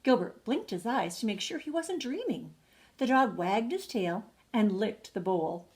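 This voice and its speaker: A woman's voice: on the high side, expressive, unhurried, darkish tone, a little hiss or hum. An older woman, a retired teacher, reading a children's bedtime story expressively, drawing out words for emphasis. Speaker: retired second-grade teacher.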